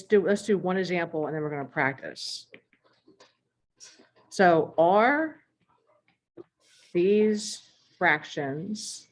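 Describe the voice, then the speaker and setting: Deep-voiced woman, math teacher, voice strained and going hoarse, explains step by step with throat-clearing. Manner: clears throat often, talks through examples step by step. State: losing her voice. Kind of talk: recorded math lesson.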